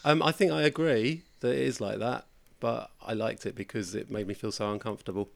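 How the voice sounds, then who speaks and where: A man hosting a horror podcast, his voice croaky and hoarse, with dry self-mocking humor. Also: medium pitch, fairly quick. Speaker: podcast host. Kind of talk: casual horror podcast chat.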